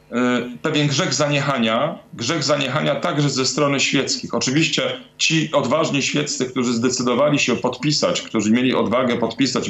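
A man in his forties speaking as a guest on a talk show over a video call from his home study, in a halting, deliberate way with many pauses. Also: medium pitch, a bit bright, echoey room. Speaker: guest commentator. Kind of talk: remote talk-show interview. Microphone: video call webcam.

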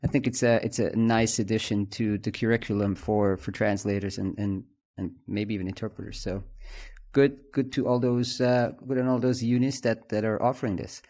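A man in his thirties hosting a podcast, relaxed and joking, asking brisk questions. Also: lowish voice. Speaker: podcast host.

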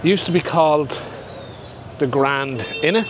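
A man's voice: a little high, slow. Man narrating a street walk. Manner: offhand, pointing things out as he walks. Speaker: walking tour vlogger. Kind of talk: casual walking-tour narration. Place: busy street outdoors. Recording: loud street traffic noise.

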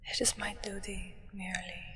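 A woman playing a solemn, devoted human acolyte of a vampire sovereign in an ASMR roleplay, speaking in a formal, reverent, breathy whisper. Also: mid-range voice, flat delivery, fairly bright tone, long reverb tail, slight background noise, lightly acted. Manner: slow, measured, reverent, formal.

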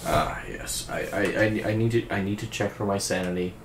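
A young man with a naturally deep, raspy voice, hosting a casual music reaction, laid-back and swearing freely as he praises a singer. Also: normal speed, reverberant, slight background noise. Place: indoor room at a streaming desk.

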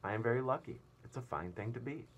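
A man's voice: medium pitch, fast, slight background noise, pitched above the performer's own voice, lightly acted. A man voicing a proud, prim female ladybug from a storybook, genteel and self-satisfied.

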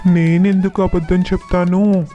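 A man playing a gentle, forgiving elderly father in a storybook tale, speaking mildly with resignation. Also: sits high, little pitch movement, a little slow, muffled recording, faint music behind. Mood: calm, resigned. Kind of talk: storybook dialogue.

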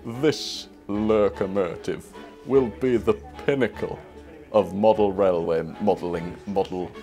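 Awkward, pompous-sounding train company spokesman played by a man, gravelly, halting, for a spoof promo. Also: lowish voice, fairly even intonation, mellow, soft background music. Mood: earnest, pleading. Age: young adult. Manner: halting, stilted, overly formal. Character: awkward, solemn male model train company spokesman in mockumentary. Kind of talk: mock promotional interview. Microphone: lapel mic.

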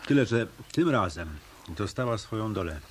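An actor playing a tough, wry hotel detective in a radio play, in a muttering hard-boiled manner. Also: male voice, fairly low pitch, lively intonation, normal speed, slight background noise, natural voice. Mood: hard, provoking. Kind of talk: Polish radio play acting.

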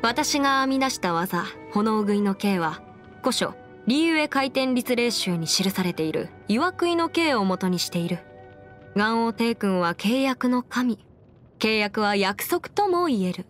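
High-pitched, self-assured young legal advisor. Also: female voice, sing-song, measured pace. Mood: explaining. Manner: self-assured, articulate, slightly boastful. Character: confident, clever young female legal advisor, proud and playful. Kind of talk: Japanese anime game character voice lines. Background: music.

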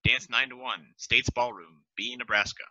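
A man reads stage directions in a matter-of-fact way over a headset. His voice is very bright and sharp. The sound is dry and lo-fi. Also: medium pitch, fairly even intonation, normal speed, no echo.

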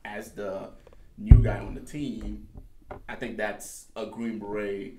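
A man's voice: fairly low pitch, little pitch movement, takes it slowly. A male host in a casual interview, laid-back, mostly nodding along with short agreements and casual swearing. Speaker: podcast host. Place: small studio set at a table. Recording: echoey studio room with faint noise.

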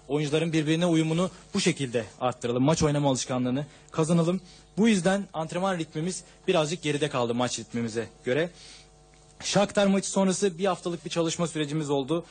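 A man's voice: fairly high pitch, husky. A man interpreting a football coach's long answer at a press conference, talking steadily through a table microphone.

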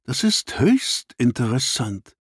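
A man voicing a shrewd, dry-witted gentleman detective in an audiobook, speaking formally and inquisitively. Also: medium pitch, big pitch swings, takes it slowly, breathy edge, dry recording, full character voice. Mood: keen, dry.

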